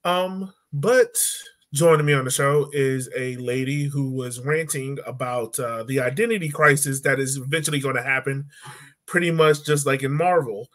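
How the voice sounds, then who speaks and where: A man's voice: mid-range voice, normal speed, a bit bright. A man in his thirties hosting a casual sports show over a video call, with a playful, teasing manner. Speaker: podcast host. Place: room at home, on a video call.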